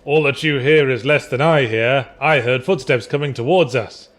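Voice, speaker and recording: A man's voice: mid-range voice, normal speed, fairly bright tone, lightly acted. A man voicing a stern, bickering barbarian hero in a fantasy story reading, with stiff, archaic, commanding phrasing.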